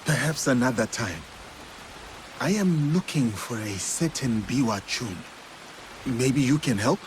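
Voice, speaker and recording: A man's voice: medium pitch, animated, a little slow, a little hiss or hum, close to an everyday voice, low-quality recording. A man playing a solemn, courteous warrior in a video game, speaking in a formal, restrained way. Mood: polite, reserved. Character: solemn, courteous male warrior seeking answers. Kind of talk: dramatic video game cutscene acting.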